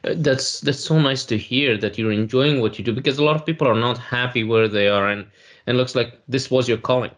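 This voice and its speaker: A man's voice: mid-range voice, a little fast. A man hosting a podcast interview, reading a formal guest introduction and then asking questions with hesitant fillers. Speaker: podcast host.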